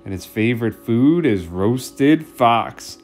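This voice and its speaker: A man's voice: mid-range voice, expressive, slow, darkish tone, faint music behind. A man voicing a small, clever mouse in a storybook read-aloud, speaking boldly and drawing out key words.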